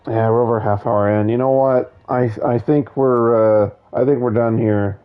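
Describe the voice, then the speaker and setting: A hoarse man losing his voice, giving casual, opinionated, digressive commentary on a video game. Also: medium pitch, fairly even intonation, moderate pace, phone-quality sound, soft background music. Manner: opinionated, digressive, many restarts.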